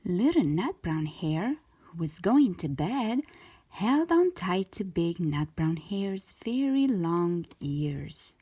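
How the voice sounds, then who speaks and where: Slow, breathy woman reading a storybook. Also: on the low side, big pitch swings, dark tone. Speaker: children's storyteller, host. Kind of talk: scripted storybook narration for young children.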